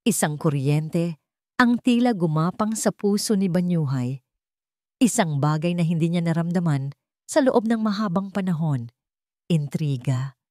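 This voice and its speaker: A woman's voice: lowish voice, a little mellow, dry recording, studio quality. A woman reading a scripted romantic drama as a story narrator.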